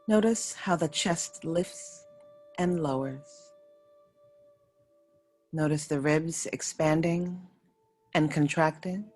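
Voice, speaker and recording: Low-voiced woman guiding a slow meditation. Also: darkish tone. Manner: soothing, unhurried, instructing in short phrases with pauses. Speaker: yoga nidra meditation teacher. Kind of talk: guided meditation. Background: faint music.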